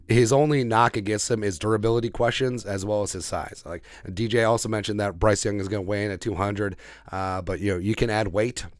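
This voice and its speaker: Low-pitched man giving football podcast commentary. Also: no echo. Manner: opinionated, emphatic, repeats phrases for emphasis. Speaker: sports podcast host. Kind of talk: off-the-cuff football draft commentary.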